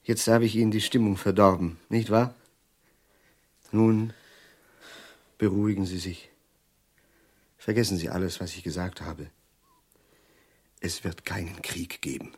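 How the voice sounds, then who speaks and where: Bitter learned russian prof, low husky voice, dramatic and declamatory, radio play. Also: male voice, fairly even intonation, unhurried, long pauses. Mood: resigned, soothing. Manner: declamatory, sardonic, lingering on words with sudden hushes. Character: bitter, doom-prophesying learned man, sardonic Russian professor. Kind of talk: theatrical German radio drama acting.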